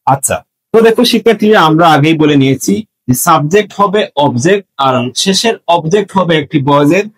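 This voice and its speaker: A man's voice: fairly high pitch, expressive, fast. A man teaching students in an explanatory, step-by-step manner, repeating key points as he goes. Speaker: English grammar teacher. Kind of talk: explanatory lesson addressed to students.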